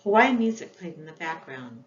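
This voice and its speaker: A woman's voice: fairly low pitch, big pitch swings, moderate pace. A woman reading scripted children's storybook narration as its author. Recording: echoey room, dull sound, faint noise.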